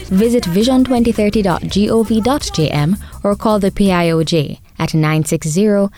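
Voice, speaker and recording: A woman presenting a short radio news feature in a clear, measured, formal way. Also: mid-range voice, mellow, soft background music. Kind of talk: scripted news feature reading.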